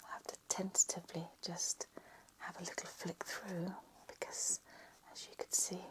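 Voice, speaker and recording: A woman whispering a slow, soft ASMR lecture roleplay, her voice slightly croaky. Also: lowish voice, flat delivery, rough recording.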